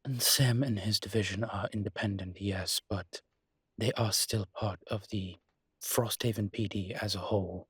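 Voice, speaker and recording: A man playing a weary, guarded winged demon in an intimate roleplay audio drama, speaking in a husky, breathy voice with dry, halting phrasing. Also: fairly low pitch, fairly even intonation, a bit bright, dry recording.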